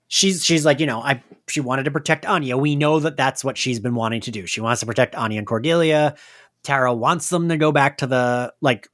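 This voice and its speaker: A podcast host chatting casually and excitedly about an audio drama, with the gushing, giggly manner of a fan. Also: mid-range voice, no room sound, studio quality. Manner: animated, gushing fan talk peppered with laughter.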